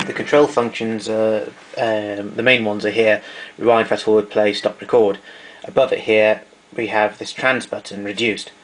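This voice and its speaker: A man's voice: fairly low pitch, little pitch movement, normal speed, few pauses, rough recording. A man giving an informal technical demonstration of equipment, explaining things methodically.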